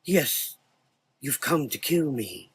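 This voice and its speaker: A man's voice: medium pitch, lively intonation, takes it slowly, husky, heavily acted. A man voicing a frail, frightened old doctor who pleads anxiously in a gothic horror audio drama. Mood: fearful resolve.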